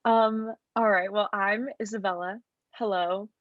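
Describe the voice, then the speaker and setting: A woman's voice: mid-range voice, fairly even intonation, measured pace, no echo, highs cut off. A young adult woman co-hosting a casual podcast over a video call, bubbly and full of "like". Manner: bubbly, lots of "like". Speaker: podcast co-host. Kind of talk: casual chat.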